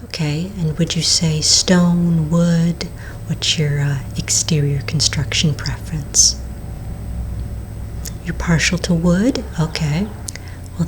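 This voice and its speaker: Lady doing a polite vampire realtor for asmr, low soft breathy whisper, takes her time, bit of background noise. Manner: polite, unhurried, gently professional, attentive. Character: courteous undead female vampire real estate agent. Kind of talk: soft-spoken ASMR roleplay.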